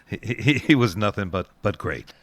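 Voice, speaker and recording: A man's voice: fairly low pitch, animated, moderate pace, dry recording. A middle-aged man presenting an oldies radio show as its DJ, chatting casually between songs with nostalgic asides. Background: oldies music.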